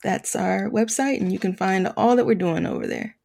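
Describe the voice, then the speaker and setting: A woman's voice: medium pitch, huge pitch swings, moderate pace, vocal fry, darkish tone, slightly rough. A woman talking reflectively as an interview guest, in an earnest way that often halts mid-thought. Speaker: podcast guest, nonprofit founder working with students.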